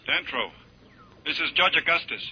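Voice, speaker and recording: A man's voice: fairly high pitch, animated, normal speed, lightly acted. An actor playing an earnest, authoritative man in an old-time radio space serial. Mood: grave, urgent. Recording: noisy, muffled vintage radio transmission sound.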